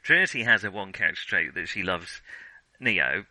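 A man's voice: low voice, expressive, moderate pace, sharp tone, no echo, poor audio. A man co-hosting a podcast, talking casually about films in a hesitant, digressive way as he thinks aloud. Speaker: podcast co-host. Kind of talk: casual film discussion.

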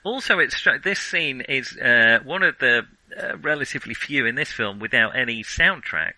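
Bright, crisp-edged British man talking film. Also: mid-range voice, expressive, normal speed, no room sound, rough recording. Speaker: film podcast host. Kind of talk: casual film discussion.

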